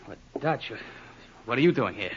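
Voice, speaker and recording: A man's voice: medium pitch, expressive, moderate pace, slightly rough. An American actor playing a nervous, impatient businessman mixed up with gangsters, in an old radio crime drama. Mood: nervous, pleading. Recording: muffled, noisy old radio recording.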